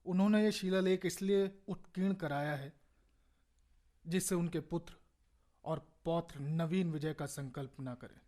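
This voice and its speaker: An obedient court scribe played by a man in a radio drama. He declaims formally in a fairly high male voice, dutiful and solemn. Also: unhurried, mellow, close to an everyday voice. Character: obedient royal scribe reading out an imperial edict. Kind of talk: Hindi radio drama acting.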